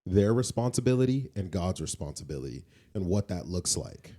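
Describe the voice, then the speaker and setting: A man's voice: deep voice, big pitch swings, brisk, dark tone. A man co-hosting a podcast, talking conversationally in an opinionated, explanatory way and often checking in with the listener. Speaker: podcast co-host.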